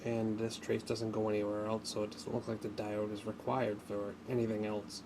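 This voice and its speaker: A man giving an unscripted hands-on tutorial, speaking haltingly as he thinks aloud while working, as a hobbyist would. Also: on the low side, completely flat delivery. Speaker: hobbyist demonstrating an electronics repair. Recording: noticeable background noise.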